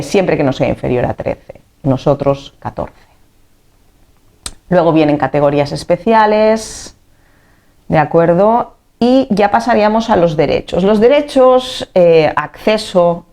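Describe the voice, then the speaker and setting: Casting: female teacher, accent from Spain, low-pitched soft-toned voice, informal lecture delivery, didactic and emphatic on key points. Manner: didactic, emphatic on key points, checks understanding with tag questions. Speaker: teacher for civil service exam candidates. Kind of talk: informal explanatory video lecture on law.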